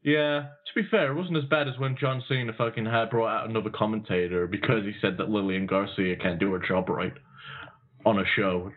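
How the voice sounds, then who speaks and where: A man's voice: fairly low pitch, fairly even intonation, brisk. A man co-hosting a podcast, giving blunt, profane opinions in casual wrestling talk. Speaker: podcast co-host. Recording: tinny phone-like line.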